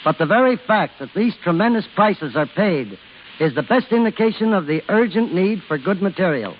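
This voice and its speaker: A male guest speaker reads a prepared 1930s radio talk formally. His voice is high for a man. The recording is muffled, with faint hiss. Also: lively intonation, moderate pace. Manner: formal read delivery. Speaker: guest speaker, film studio executive.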